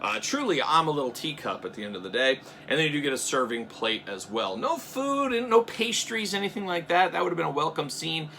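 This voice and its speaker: A young man with a bright, sharp, somewhat high voice chatters through a toy unboxing. He jokes and drifts into tangents, jumping from loud bursts to low mutters. Faint room noise sits behind him. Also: sing-song, fairly quick, gravelly, few pauses. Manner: jokey, rambling asides, swings between loud bursts and mutters. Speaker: toy reviewer.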